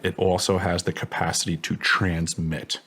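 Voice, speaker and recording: Very low-voiced man giving assertive testimony. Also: level tone, moderate pace, a bit bright, slightly rough. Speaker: interview guest, former contractor. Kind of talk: interview testimony.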